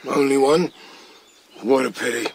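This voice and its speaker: A British man playing a gruff, belligerent rabbit fighter in a radio drama, blunt and scornful. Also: mid-range voice, a little slow, a little hiss or hum.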